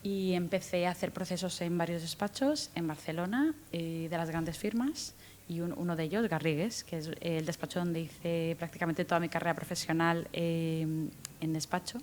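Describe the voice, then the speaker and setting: A woman in her thirties from Guatemala, with a faint Guatemalan accent softened by Spanish from Spain, answering interview questions as a guest lawyer with frequent restarts and fillers. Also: lowish voice, normal speed, a little hiss or hum. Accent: light Guatemalan, mostly Spanish from Spain. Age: 30s. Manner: thoughtful, many restarts and fillers, lively rises when retelling.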